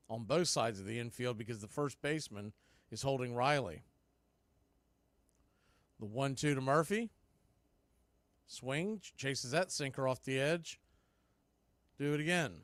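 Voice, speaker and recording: A man doing radio baseball play-by-play, making steady calls mixed with conversational analysis. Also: medium pitch, measured pace, pauses often, no echo. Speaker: play-by-play announcer.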